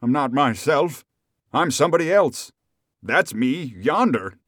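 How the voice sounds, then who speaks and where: A humble, desperate old storybook man, acted out by a male narrator, with a raised voice that swings widely in pitch. He sounds bewildered and plaintive, crying out his words. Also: sing-song, normal speed, a little mellow, slightly rough, no room sound, studio quality. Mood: bewildered despair. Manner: plaintive, exclamatory.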